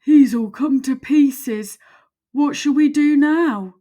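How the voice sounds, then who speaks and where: A woman's voice: sits high, slow, mellow, voice pushed up. A woman voicing a big, good-natured male skeleton in a storybook, puzzled and ponderous. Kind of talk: storybook read-aloud.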